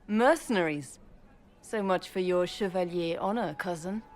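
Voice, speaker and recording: A woman's voice: on the low side, moderate pace, darkish tone, lightly acted. A woman playing a regal, commanding empress in a fantasy video game, with a formal, stately and imperious manner. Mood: cold, condemning. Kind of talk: dramatic video game acting.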